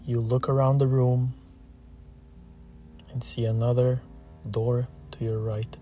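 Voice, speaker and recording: A man guides a meditation in a relaxed, mellow voice at a middle pitch. His delivery is soothing and steady, with barely any rise or fall. Short phrases are set apart by long, unhurried pauses. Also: faint music behind. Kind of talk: slow guided meditation, scripted narration.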